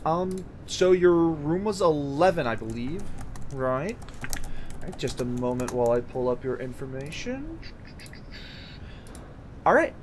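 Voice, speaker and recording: A woman's voice: low voice, sing-song, unhurried, pauses often, darkish tone, a little hiss or hum. A woman playing a polite, businesslike motel desk clerk in an audio drama, a little hesitant. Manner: polite, hesitant customer-service patter.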